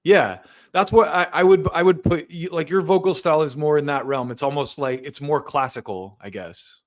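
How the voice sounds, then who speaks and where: A man's voice: fairly high pitch, moderate pace. A man hosting a live-stream interview, easygoing and agreeable, laughing along with his guest. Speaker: podcast host.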